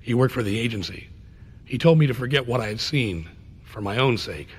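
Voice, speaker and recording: An actor playing a stoic former Army Ranger and special agent with grave, clipped delivery in a video game. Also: male voice, medium pitch, animated, a little fast, breathy edge. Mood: grave testimony. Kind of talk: scripted game cutscene acting. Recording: game audio with background noise.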